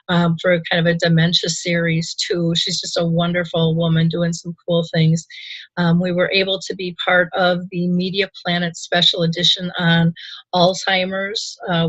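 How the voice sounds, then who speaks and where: A woman's voice: lowish voice, a bit bright, no echo, highs cut off. A woman hosting a radio talk show, chatting enthusiastically with frequent ums.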